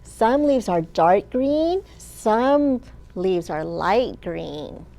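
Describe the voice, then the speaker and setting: A woman children's librarian reading a picture book aloud to young children, sing-song, with questions and pauses for them to answer. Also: mid-range voice, lively intonation, gravelly, mellow. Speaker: children's librarian and storytime host.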